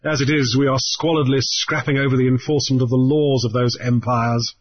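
A calm, grave sea captain with a dry wit, speaking in measured tones, as a male narrator voices him. The voice is husky, a touch raised from the narration. The recording is dull and lo-fi. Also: mid-range voice, natural voice.

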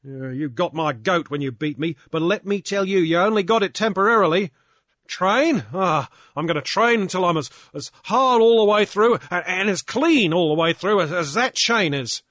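A man reading aloud and voicing a young male drunkard who whines and blusters in a shrill voice. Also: animated, normal speed, fairly bright tone, no room sound, studio quality. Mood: defiant. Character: young male drunkard, sulky then defiant. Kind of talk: audiobook character voice.

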